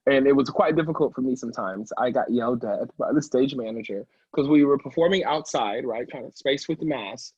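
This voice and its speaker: A man's voice: medium pitch, a little mellow, breathy edge, no echo, dull audio. A man who is an opera singer talking casually as an interview guest, emphatic and chatty, often checking in with the listener. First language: English.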